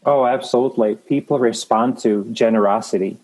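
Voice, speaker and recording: Man, Czech accent, thirties, interview guest, earnest, encouraging, warm dark tone. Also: medium pitch, a little fast, low-quality recording. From Czech Republic. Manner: earnest, encouraging, with frequent restarts. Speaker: guest, creative manager. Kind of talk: motivational interview talk.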